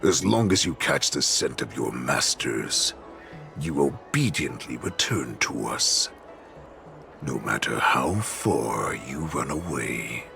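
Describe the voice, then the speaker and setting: An actor playing an ancient wolfish warlord in a video game, menacing, contemptuous and commanding, speaking in an imperious growl. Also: male voice, lively intonation, bright, forceful tone, faint music behind, full character voice. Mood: scornful, menacing. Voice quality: very deep gravelly growl. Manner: slow, imperious, mocking. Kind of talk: dramatic video game voice acting.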